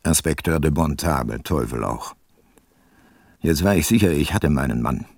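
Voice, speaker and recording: An actor playing a shrewd, self-assured older private detective in a radio play, speaking in a measured, dry and probing manner. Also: male voice, very deep voice, lively intonation, gravelly, breathy edge, dry recording, heavily acted. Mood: reflective, dry. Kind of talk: radio play narration and dialogue.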